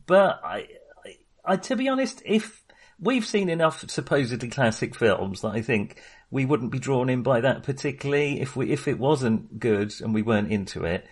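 A man's voice: mid-range voice, husky. A man talking casually and informally about films as a podcast co-host, with a chatty, mildly sweary manner. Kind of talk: casual film discussion.

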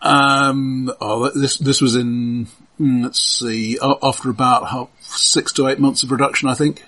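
Male podcast host, raspy yet bright; conversational, analytical film talk with halting restarts. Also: medium pitch, little pitch movement. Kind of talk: casual film discussion.

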